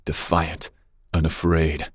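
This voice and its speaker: Man playing a deep, husky, seductive mafia heir. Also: monotone, no room sound, studio quality, exaggerated voice. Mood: seductive, intrigued. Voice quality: breathy, husky low voice. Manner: smooth menace, slow teasing pauses. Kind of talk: ASMR roleplay, intimate second-person monologue.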